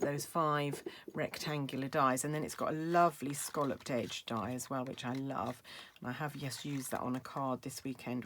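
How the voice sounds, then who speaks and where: Low-voiced woman, chatty craft demo. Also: slight background noise. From United Kingdom. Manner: chatty, thinking aloud while working. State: slightly croaky. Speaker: craft demonstrator. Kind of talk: casual craft tutorial. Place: craft table indoors.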